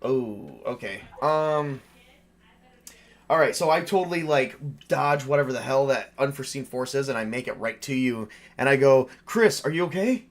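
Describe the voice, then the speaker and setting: A man acts as game master for a tabletop horror game. He narrates the action in an informal, conversational style, making it up as he goes and speaking in a medium-pitched voice. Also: moderate pace. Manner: informal, improvising with mild swearing.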